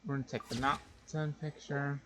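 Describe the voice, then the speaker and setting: A man's voice: mid-range voice, fairly even intonation, moderate pace, noisy background. A man doing casual live game commentary, thinking aloud in a hesitant, wry way. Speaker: game streamer.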